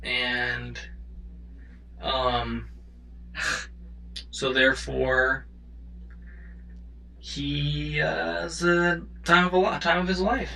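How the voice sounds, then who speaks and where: A man's voice: a little high, level tone, drawn-out words, vocal fry, long pauses, bright, forceful tone, a little hiss or hum, rough recording. A man hosting a casual podcast who introduces segments and teases his co-host playfully.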